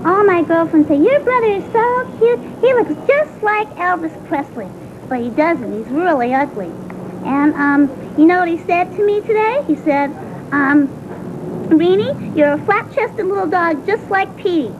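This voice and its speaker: Whiny little schoolgirl, squeaky high voice, indignant, rambling, childlike, character monologue, tinny recording. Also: animated, moderate pace. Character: sulky, aggrieved young schoolgirl, naive and foul-mouthed. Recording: tinny phone-like band, faint rumbling noise.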